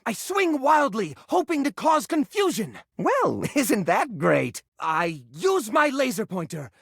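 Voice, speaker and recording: An actress playing a scatterbrained, nervous, eager-to-help keeper of odds and ends in a video game, fussy and rambling, with a creaky, husky voice. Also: female voice, a little high, sing-song, slow, no room sound, studio quality, full character voice. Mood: excitable. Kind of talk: video game character acting.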